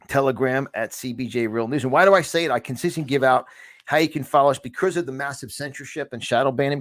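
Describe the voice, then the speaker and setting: Middle-aged New York man, host, preacher-like emphatic delivery, stumbles and repeats himself, mid-pitched voice, dry close sound. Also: no room sound. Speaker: host of a conservative news channel. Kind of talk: motivational monologue.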